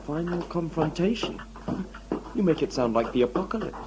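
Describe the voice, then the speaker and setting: A man's voice: on the high side, animated, normal speed, heavy background noise, lightly acted. An actor playing an exasperated, sarcastic, hapless man on an adventure in an audio drama. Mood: querulous. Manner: sarcastic, complaining.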